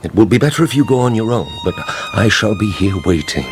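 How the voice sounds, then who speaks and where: A reserved, courteous count played by a man with a very low voice, in a radio drama with faint music behind. His delivery is formal and measured, giving little away. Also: expressive, lightly acted. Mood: calm, guarded. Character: cool, enigmatic, courteous aristocratic count.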